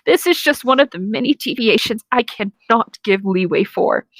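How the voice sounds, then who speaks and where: A woman's voice: on the high side, animated, moderate pace, dry recording. A woman reading reviews aloud in an informal, casual way, stumbling now and then and correcting herself.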